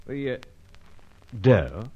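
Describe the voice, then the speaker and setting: Animated British gentleman secret agent. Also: mid-range voice, drawn-out words, breathy edge, muffled recording, heavily acted. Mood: evasive, hesitant. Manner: clipped, old-fashioned gentlemanly speech. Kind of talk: old-time radio adventure serial acting and narration.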